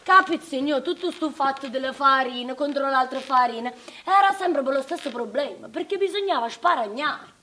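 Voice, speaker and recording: Shrill, fiery southern Italian housewife. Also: female voice, animated, moderate pace, sharp tone, slight background noise. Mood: chatty, complaining. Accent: southern Italian, Neapolitan. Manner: earthy, volatile, scolding, addressing listeners directly. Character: fiery exasperated working-class southern Italian wartime housewife. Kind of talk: theatrical monologue in dialect for radio drama.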